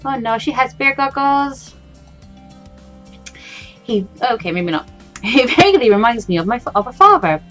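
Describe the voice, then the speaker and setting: Expressive woman with a very lively pitch. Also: normal speed, pauses often. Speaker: let's play host. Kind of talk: expressive read-aloud game playthrough with casual asides. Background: faint game music.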